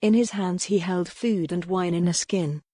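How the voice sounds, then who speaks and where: A woman's voice: fairly low pitch, fairly even intonation, normal speed, warm tone, dry recording. A woman narrating a scripted historical romance audiobook.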